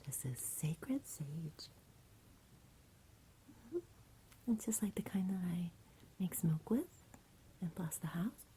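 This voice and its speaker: Female ASMR bedtime voice: mellow, hushed whisper, tender and unhurried, slight noise behind. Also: fairly low pitch, lively intonation. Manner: soothing, gentle, unhurried, as if to a child. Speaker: ASMR performer playing a caregiver at bedtime. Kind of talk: soft-spoken whispered ASMR bedtime roleplay.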